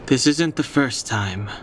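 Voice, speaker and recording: A man playing a calm, reserved, laconic young companion in a video game. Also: medium pitch, background noise. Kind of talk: video game cutscene acting.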